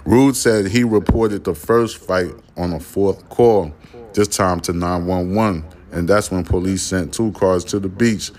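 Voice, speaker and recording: A man's voice: deep voice, measured pace, darkish tone. A man hosting his own podcast, giving a blunt, profane, ranting opinion monologue. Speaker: podcast host.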